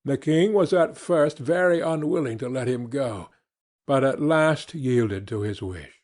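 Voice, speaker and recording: A man narrating a fairy tale as an audiobook reader, in an expressive and measured storytelling manner. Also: mid-range voice.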